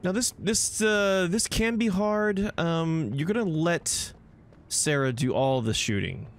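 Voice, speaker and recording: A man's voice: on the high side, slow pace. A man giving casual, rambling walkthrough commentary on a video game, talking to himself and to viewers. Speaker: gameplay host.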